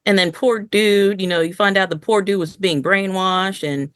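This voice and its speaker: A woman co-hosting a podcast in casual review chat, with a blunt, expressive way of talking. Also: mid-range voice, normal speed, clean tone, no room sound, clean studio sound. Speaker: podcast co-host.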